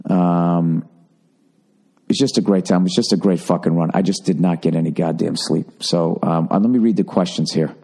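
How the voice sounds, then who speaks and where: A man's voice: low voice, fairly even intonation, normal speed, gravelly, mellow, slightly rough. A middle-aged man hosting a podcast, telling road stories in a profane, sardonic, rambling way with many fillers. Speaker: podcast host. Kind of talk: casual solo podcast monologue.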